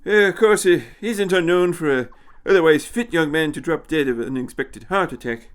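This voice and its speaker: A man voicing an elderly, eccentric time traveller, haughty and impish, with a high, tremulous, querulous voice and a fussy manner. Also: moderate pace, smooth, lightly acted. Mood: pensive. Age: older. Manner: haughty, fussy, hesitant turns of phrase.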